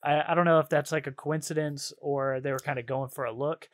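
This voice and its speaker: Guy podcast cohost, voice a bit on the high side, rambly and slangy, into his games and shows. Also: steady tone, brisk. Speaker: podcast co-host. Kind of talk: casual chat about video games and shows.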